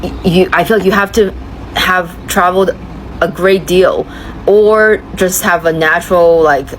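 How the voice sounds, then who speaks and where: A woman from China with a low voice speaks openly to her phone, thinking aloud in a searching, confiding way. Faint noise hums behind her. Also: unhurried, poor audio. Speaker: vlogger sharing personal reflections. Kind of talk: candid personal monologue.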